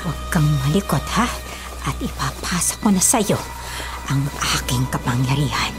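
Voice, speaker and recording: A woman's voice: low-pitched, expressive, moderate pace, loud music behind the voice. Menacing old monster grandmother, swooping voice. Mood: sinister, commanding. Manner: taunting, commanding. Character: menacing old grandmother who is a flesh-eating shapeshifting monster. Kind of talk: Filipino radio drama acting. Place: small padded radio recording booth. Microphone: studio condenser mic with pop filter.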